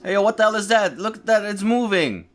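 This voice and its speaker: A man's voice: sits high, lively intonation, brisk. A man hosting a gaming video, giving casual, slangy reaction commentary with muttered asides.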